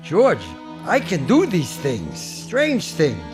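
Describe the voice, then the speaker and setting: A quaint, eager old-fashioned angel. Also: male voice, a little high, huge pitch swings, slow, music in the background, heavily acted. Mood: gentle. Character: gentle, fussy, old-fashioned male angel. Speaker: radio actor. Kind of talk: 1940s radio acting.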